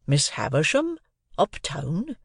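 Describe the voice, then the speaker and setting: A reader voicing a mild, apologetic man who hints politely in slow, halting words in an audiobook. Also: medium pitch, wildly swooping pitch, vocal fry, breathy edge, dry recording, studio quality, full character voice. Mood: hesitant. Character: meek, mild-mannered man, hesitant and apologetic. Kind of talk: audiobook character voice.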